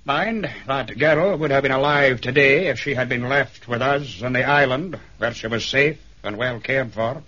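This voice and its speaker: Sharp, scornful Scottish minister. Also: male voice, mid-range voice, normal speed, hardly any pauses. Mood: grudging, reproachful. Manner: curt, sardonic. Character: gruff, scornful Scottish islander government minister. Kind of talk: 1970s British radio drama acting. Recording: dull 1970s radio recording.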